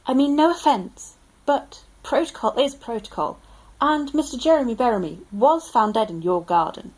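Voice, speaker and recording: A woman's voice: on the high side, lively intonation, moderate pace, darkish tone, poor audio. A woman playing a prim male police sergeant. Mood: officious. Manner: officious, apologetic formality.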